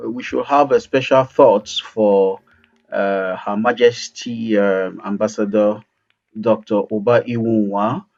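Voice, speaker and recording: A male interview host who talks haltingly with many fillers, wrapping up a remote interview. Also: fairly low pitch, vocal fry, darkish tone. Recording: dull sound with faint music.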